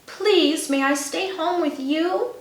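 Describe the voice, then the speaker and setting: A woman's voice: very high voice, slow, echoey room, pitched above the performer's own voice, heavily acted. A woman voicing a small, shy young boy raccoon in a storybook, childlike, earnest and pleading. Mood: tearful pleading. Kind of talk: storybook read-aloud character voice.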